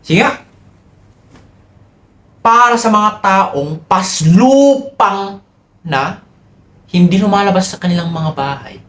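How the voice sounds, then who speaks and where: A man's voice: high voice, animated, slow pace, pauses often, fairly bright tone, full character voice. Man acting a pompous, volatile colonial lieutenant, echoey room. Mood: boastful. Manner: boastful, demanding, theatrical. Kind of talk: theatrical dramatic monologue.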